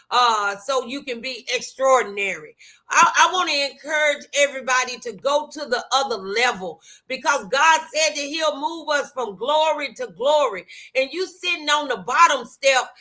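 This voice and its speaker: A woman's voice: high voice, pushed and bright. A middle-aged woman talk show host chatting motivationally, emphatic and preacherly, repeating phrases to drive them home.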